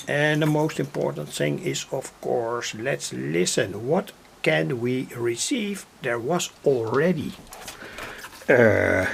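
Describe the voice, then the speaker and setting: A man giving an unscripted, halting demonstration as a hobby presenter, rambling and letting his phrases trail off. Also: mid-range voice, creaky, a little hiss or hum. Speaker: hobby radio builder and video host.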